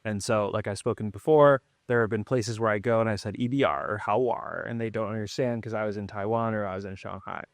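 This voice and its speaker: Relaxed man giving learning advice. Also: on the low side, fairly quick, hardly any pauses, no echo. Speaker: podcast host. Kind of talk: casual conversation.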